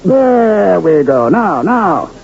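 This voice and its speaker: An actor in a 1970s radio drama playing a florid old-west medicine-show huckster posing as a doctor, orating a flowery, grandiloquent sales pitch. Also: male voice, very animated, extremely slow, creaky, darkish tone, exaggerated voice. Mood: solicitous showmanship. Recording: dull hissy 1970s radio recording.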